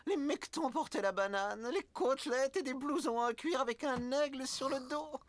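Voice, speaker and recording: A woman's voice: sits high, normal speed, background noise, natural voice. A woman playing a theatrical, slangy old cleaning lady who wistfully tells stories in a cartoon dub. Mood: nostalgic, dramatic. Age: older. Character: nostalgic old cleaning lady, former tough biker girlfriend. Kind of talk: dubbed cartoon storytelling with retro slang. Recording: loud music behind the voice.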